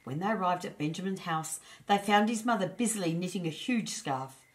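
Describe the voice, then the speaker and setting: A woman's voice: lowish voice, expressive, brisk. A woman storyteller narrating a children's storybook.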